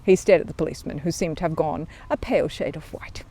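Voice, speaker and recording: A woman storyteller narrating a children's audiobook in an expressive style. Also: sits low, fast talker, slight background noise.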